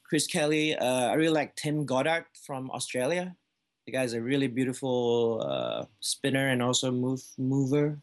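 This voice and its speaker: A man from Malaysia in his thirties, a juggler answering interview questions. He talks in an easygoing, casual way, full of "like" fillers and small restarts, at a middle pitch. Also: expressive, normal speed. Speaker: juggler, guest.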